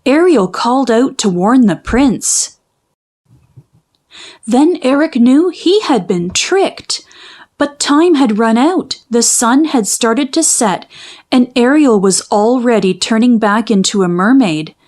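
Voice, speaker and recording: A woman narrating a children's storybook in an expressive, measured storytelling manner. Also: fairly high pitch. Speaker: children's book read-aloud narrator.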